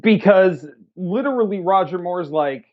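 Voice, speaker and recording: A man's voice: high voice, expressive, measured pace. A man co-hosting a casual movie review podcast, riffing sarcastically and swearing freely. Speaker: podcast co-host. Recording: slightly dull lo-fi audio.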